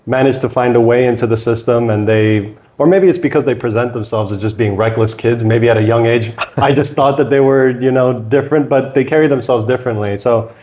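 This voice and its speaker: A man's voice: medium pitch, fairly even intonation, a little fast, few pauses, darkish tone. A man in his twenties from Thailand, a podcast guest, talking casually with many fillers and restarts. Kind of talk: casual interview talk.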